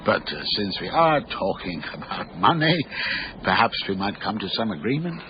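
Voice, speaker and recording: An actor playing a cultured, shrewd older man selling art in a radio drama, urbane, measured and coaxing. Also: on the low side, big pitch swings, muffled recording, noisy background. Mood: confiding.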